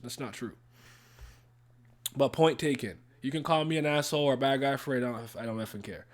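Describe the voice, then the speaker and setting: A man's voice: medium pitch, brisk. A man whose first language is French, casually answering chat comments on a livestream with blunt, heated opinions and repeated phrases. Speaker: YouTuber streaming to chat.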